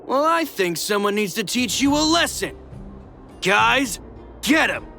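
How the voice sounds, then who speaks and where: A man's voice: high-pitched, sing-song, a little slow, gravelly, sharp tone, faint music behind, full character voice. A male actor playing a sneering, bullying miner who leads angry thugs in a video game, taunting as he talks. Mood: mocking, menacing. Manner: taunting, mocking. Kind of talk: English video game voice acting.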